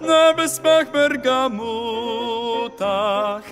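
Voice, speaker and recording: Female actor as talking cat, proud, boastful, slow rhymed delivery, fairy-tale audio play, musical backing. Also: on the high side, steady tone. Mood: proud boasting. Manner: boastful, theatrical. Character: proud talking cat of a rare booted breed. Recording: music behind the voice.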